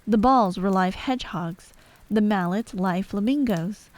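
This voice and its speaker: Female bedtime storyteller, ASMR-style narration, mid-pitched, very dry sound. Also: a little slow, dry recording.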